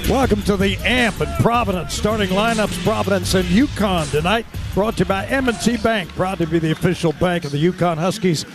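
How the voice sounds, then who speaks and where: Male radio play-by-play voice for basketball, high register, light music behind. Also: fairly quick, hardly any pauses. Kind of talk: radio sports broadcast commentary.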